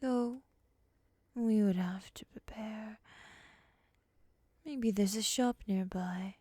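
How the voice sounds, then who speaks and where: A woman playing a composed, bossy, possessive moth monster in an ASMR roleplay, speaking softly and breathily, often near a whisper, with a measured, scolding manner. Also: mid-range voice, breathy edge, no echo. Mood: silky, possessive. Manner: measured, scolding, coolly teasing.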